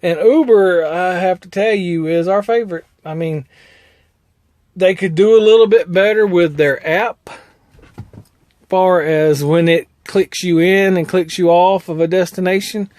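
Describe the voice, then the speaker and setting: A man's voice: high voice, unhurried, darkish tone, no echo. A man, an owner-operator truck driver talking casually in a plainspoken, meandering way. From United States. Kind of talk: casual vlog talk.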